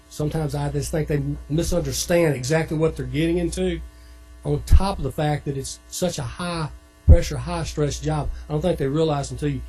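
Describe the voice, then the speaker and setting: A man's voice: fairly high pitch, warm tone, poor audio. A man speaking as a union representative, answering plainly, politely and matter-of-factly at a public meeting. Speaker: police dispatchers' union representative. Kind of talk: plain-spoken testimony.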